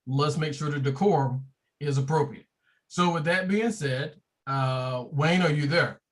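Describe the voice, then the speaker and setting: A man's voice: on the high side, unhurried. A man who is a branch president, hosting a virtual meeting in a loose, joking way. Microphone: video call.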